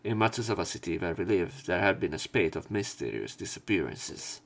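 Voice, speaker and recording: A man reading visual novel narration aloud as a let's play commentator, with halting casual asides where he corrects himself. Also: sits low, big pitch swings, gravelly.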